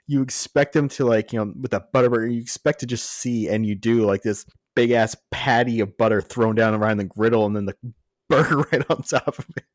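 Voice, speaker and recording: Give the voice of an American man in a casual, comedic podcast conversation. His pitch is average and he rants with mock exasperation. The recording is dry, with very little room sound. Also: no room sound. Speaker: podcast co-host.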